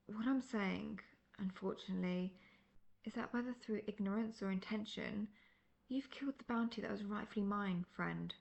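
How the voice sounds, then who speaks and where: Casting: actress as veteran female monster hunter for fantasy roleplay audio; wry, assertive, measured, with a creaky edge. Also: medium pitch, lightly acted. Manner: measured, formal, dryly condescending.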